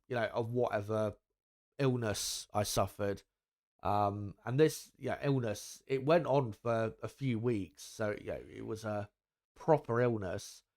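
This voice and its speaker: A young man hosts a live watchalong, chatting loosely and peppering his talk with ums and little asides to the audience. His voice sits at an everyday pitch but carries a slightly deeper tone than it once did. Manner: rambling, frequent ums and asides to viewers. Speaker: livestream host. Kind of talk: casual live commentary chat.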